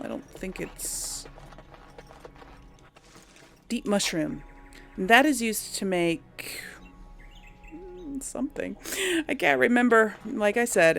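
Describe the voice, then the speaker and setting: A woman's voice: mid-range voice, vocal fry. A woman hosting a gaming channel, giving casual role-play commentary as she plays.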